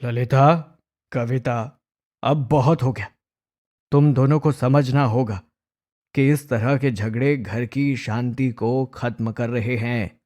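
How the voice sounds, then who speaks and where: A man playing a firm, fair-minded older father-in-law with an admonishing manner in an animated family drama. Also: mid-range voice, slow, lightly acted.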